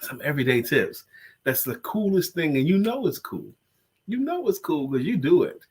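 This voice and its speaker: A man's voice: a little high, big pitch swings, normal speed, a little mellow, slightly rough. A congested man co-hosting a casual live-stream chat, joking and self-deprecating. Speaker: co-host and coach.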